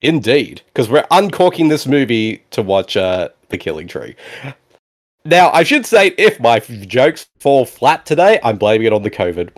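A man hosting a casual movie commentary podcast, animated, often answering with a repeated "exactly" or "indeed". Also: medium pitch, moderate pace. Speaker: podcast host.

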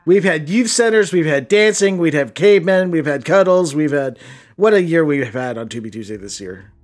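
A man with a slightly high voice co-hosts a casual movie commentary podcast. He slips in dry, jokey asides between his co-host's longer stretches of talk. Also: animated, normal speed. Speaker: podcast co-host.

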